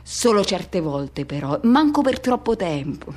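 A woman's voice: medium pitch, big pitch swings, unhurried. A woman performing a radio theatre monologue as an embittered, seething man haunted by a lost love, with intense, incantatory delivery. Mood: bitter, brooding. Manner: intense, incantatory repetition, swinging from hushed to shouting.

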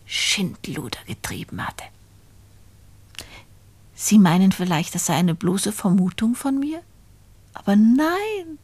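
A sly, lively-voiced spinster murderess. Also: female voice, mid-range voice, dry recording. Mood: smug, coy. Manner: deliberate, confiding, addressing listeners directly. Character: sly, gloating poor spinster who narrates her own murder. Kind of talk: German radio play monologue, storytelling.

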